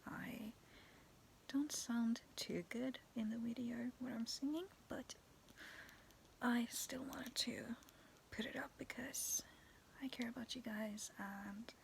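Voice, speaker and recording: A young woman vlogging in a soft, raspy whisper, talking to her viewers to camera and telling a spooky story, giggling now and then. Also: a little high, steady tone, slow, long pauses, a little hiss or hum, rough recording. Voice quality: raspy, cracking voice. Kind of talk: whispered casual vlog with a ghost story. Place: dim bedroom with fairy lights. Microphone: phone or webcam at arm's length.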